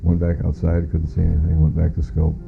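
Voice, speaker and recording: A middle-aged American man giving personal testimony as a witness, plain-spoken and halting as he recounts it. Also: very deep voice, completely flat delivery, vocal fry. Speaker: witness, former air traffic controller. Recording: muffled phone-like audio with faint music.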